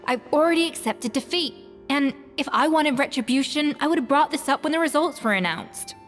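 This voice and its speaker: A woman's voice: high voice, animated, a little fast. A woman playing a blunt, imperious young pastry chef in a game, with a theatrical no-nonsense manner. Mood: calm, resigned. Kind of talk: English game voice acting. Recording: long reverb over background music.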